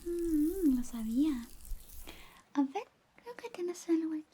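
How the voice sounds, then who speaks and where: A woman performing a soft-spoken, partly whispered ASMR roleplay as an affectionate, teasing best friend, coy and doting. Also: high-pitched, lively intonation, slow pace. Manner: coy, playful, doting, murmuring little interjections. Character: affectionate, teasing, jealous young female best friend in love with the listener.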